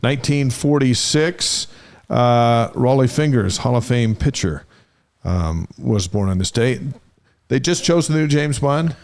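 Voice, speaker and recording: A man's voice: medium pitch, animated, a little slow, creaky, a little mellow. A middle-aged man co-hosting a sports talk show in casual banter, reading out short items and telling stories in a chatty way.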